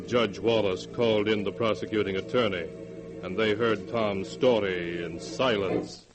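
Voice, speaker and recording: A man acting as a grave storyteller, narrating a 1940s radio horror tale in a dramatic, deliberate way. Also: on the low side, fairly even intonation, over music, close to an everyday voice, rough recording. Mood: grave, suspenseful. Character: grave storyteller of dark tales.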